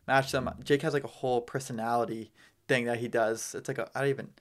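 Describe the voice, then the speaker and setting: A man's voice: mid-range voice, steady tone, fast talker. A man chatting casually as a podcast guest, explaining his business in loose, slangy, conversational phrases. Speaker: podcast guest, real estate business owner.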